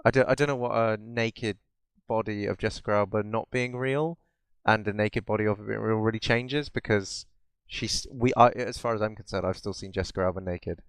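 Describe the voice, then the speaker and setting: A man's voice: lowish voice, steady tone, fairly quick, clean tone, dry recording. A man co-hosting a podcast, chatting casually about films in a rambling way. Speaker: podcast co-host. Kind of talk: casual film chat.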